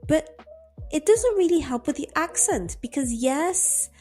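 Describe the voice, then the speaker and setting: Slow, warm-voiced British-accented teacher. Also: female voice, sits high, lively intonation, soft background music. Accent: British English. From French-speaking. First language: French. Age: 30s. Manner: earnest, repeats key phrases for emphasis. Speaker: English teacher. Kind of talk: encouraging direct-to-camera lesson.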